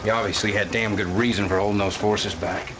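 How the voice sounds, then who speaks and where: A man's voice: lowish voice, fairly even intonation, fairly quick, noisy background, lightly acted. An actor playing a cocky brash male soldier who talks in a casual, boastful way.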